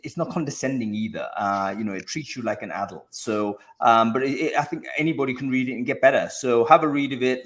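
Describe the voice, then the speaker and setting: A man hosting a live online show, talking casually to viewers in a discursive way, often repeating words as he feels for the next thought. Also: medium pitch. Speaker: host of a live online show about recruiting.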